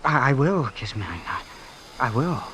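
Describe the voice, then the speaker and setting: A man's voice: mid-range voice, very animated, a little slow, a bit bright, slight background noise. An actor playing an earnest, bashful small-town young man, hesitant and stammering, in old-time radio drama style. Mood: smitten.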